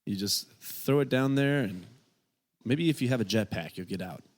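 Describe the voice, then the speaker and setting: A man's voice: mid-range voice, lively intonation, moderate pace. A man joking around as a podcast co-host, laughing often and breaking into silly voices. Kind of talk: casual chat.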